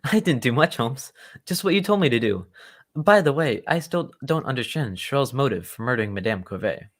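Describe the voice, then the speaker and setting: A man playing a polite, earnest, loyal gentleman friend of a detective in an old-time radio drama, speaking with formal courtesy. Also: mid-range voice, expressive, moderate pace, natural voice. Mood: curious, friendly.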